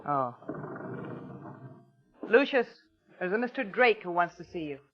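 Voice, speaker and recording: An actress playing a reserved, suspicious wife with a curt, formal manner in an old radio drama. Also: female voice, on the low side, sing-song, unhurried, faint music behind. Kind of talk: 1940s-50s radio drama acting. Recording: muffled old radio recording.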